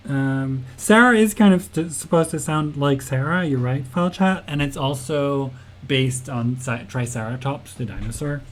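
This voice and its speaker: A man's voice: medium pitch, normal speed. A young man, a game developer, gives a casual livestream tutorial, thinking aloud with fillers, laughing often and answering chat. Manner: chatty, giggly, thinks aloud with many fillers.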